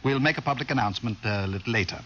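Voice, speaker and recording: An actor playing a courteous, resigned older overlord in a 1950s radio drama, making urbane, hesitant small talk. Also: male voice, mid-range voice, rapid-fire, fairly bright tone, close to an everyday voice. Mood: genial, resigned. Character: genial, courteous doomed overlord, resigned older male ruler. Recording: dull, noisy vintage radio recording.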